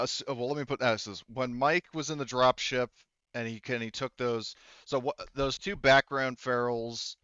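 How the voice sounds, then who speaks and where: A man's voice: medium pitch, fairly bright tone, clear, resonant tone, no echo, highs cut off. A man running a tabletop roleplaying game as game master, talking through rulings in a casual, explanatory way.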